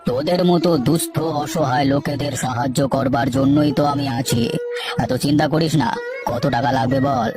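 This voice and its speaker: A woman's voice: sits low, big pitch swings, normal speed. A woman voicing a greedy, scheming older landlord in a cartoon, who talks down to the people he lends to. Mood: smug. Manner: smug, condescending, addresses the borrower as an inferior. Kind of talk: cartoon voice acting. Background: background music.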